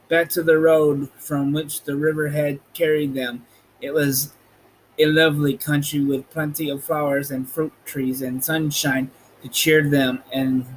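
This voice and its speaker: A man's voice: a little high, rough recording. A man reading a children's storybook aloud as scripted narration. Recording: light background noise.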